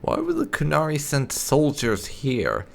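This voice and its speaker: A man hosting a gaming video, giving casual, wry playthrough commentary with some light roleplay in a gravelly voice. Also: on the high side, huge pitch swings, measured pace. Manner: wry, sarcastic. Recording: game music behind. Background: game music.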